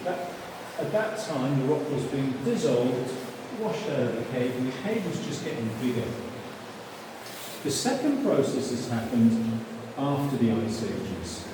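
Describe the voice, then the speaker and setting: A male tour guide talks a group through a limestone cave in a chatty, explaining way and keeps putting questions to the visitors. His mid-pitched voice reaches a camera some way off and rings around the stone with a long, cavernous echo. A steady hiss of noise sits under the whole recording. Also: a little slow. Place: inside a limestone show cave. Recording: echoey cave, distant camera mic, noisy.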